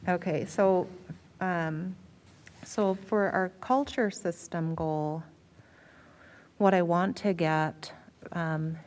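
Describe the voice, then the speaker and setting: A woman's voice: fairly low pitch, steady tone, drawn-out words, pauses often, darkish tone. An American woman, a school district superintendent, explaining things in a meeting presentation and repeating words for emphasis. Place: school board meeting room.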